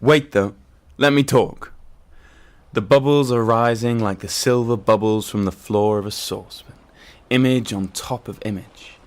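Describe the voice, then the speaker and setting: A man's voice: lowish voice, unhurried, lightly acted. A man playing an imaginative, wordy schoolboy storyteller, eager and rhapsodic, in a poetic audio drama.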